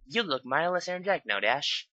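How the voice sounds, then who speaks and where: Man voicing a down-home farm pony mare, high folksy drawl, bright tone. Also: very animated, quick pace, no echo, heavily acted. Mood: friendly.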